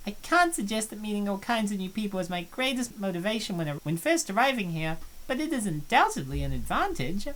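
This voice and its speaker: A bashful young college girl with an obsessive crush, voiced by a woman for a visual novel. Her voice sits high and swings widely, and she confides each line earnestly. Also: low-quality recording. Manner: earnest, confessional reading.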